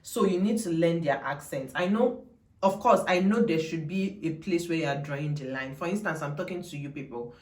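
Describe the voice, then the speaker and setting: A woman's voice: low-pitched, a little fast, roomy sound. A young woman with a Nigerian accent, talking casually and emphatically to camera, wandering into digressions and repeating her points. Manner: chatty, digressive, emphatic repetition. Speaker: vlogger.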